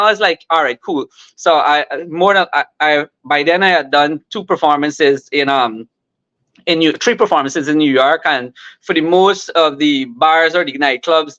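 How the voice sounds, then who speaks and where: A man rambles happily through his stories from home, restarting his sentences and laughing along. His voice is bright and fairly high. The call audio comes through dull and lo-fi. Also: normal speed. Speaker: musical artist and guest. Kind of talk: casual storytelling on a remote interview.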